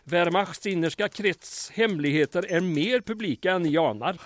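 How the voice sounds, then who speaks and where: A male actor plays a small-town mayor in an audio drama. He is wry and unflappable, a wordy politician with a slightly high voice. He delivers his irony in measured, dry sentences. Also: expressive. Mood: dryly teasing.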